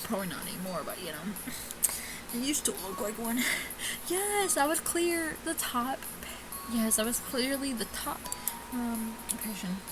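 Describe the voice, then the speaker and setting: A woman doing casual gameplay commentary, reading game text aloud haltingly and often stumbling and rereading lines. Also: fairly high pitch, big pitch swings, low-quality recording. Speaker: let's player reading a visual novel aloud. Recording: music playing behind the voice. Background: game music.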